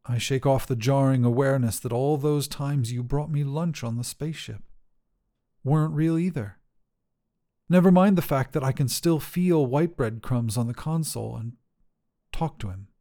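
A man narrating first-person fiction as a desperate, oxygen-starved astronaut, in deliberate, intense, measured phrases. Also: mid-range voice, mellow. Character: desperate oxygen-starved astronaut telling his own story. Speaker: story narrator.

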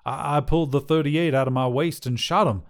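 A man's voice: fairly high pitch, brisk, voice pushed up, close to an everyday voice. A man voicing a young drifter, dazed and haunted, who speaks in a hesitant, stammering way.

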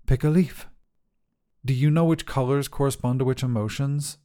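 A man voicing a frustrated but loving mother in a narrated short story, speaking in an earnest, halting way. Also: mid-range voice, fairly even intonation, warm tone, voice pushed up, lightly acted. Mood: impatient.

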